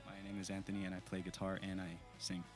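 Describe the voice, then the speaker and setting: A man's voice: sits low, quick pace, faint music behind. A young man from the East Bay in his teens, the band's singer and guitarist, chatting casually and rambling with lots of fillers. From East Bay, California. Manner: relaxed, rambling with many fillers. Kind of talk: casual interview chat.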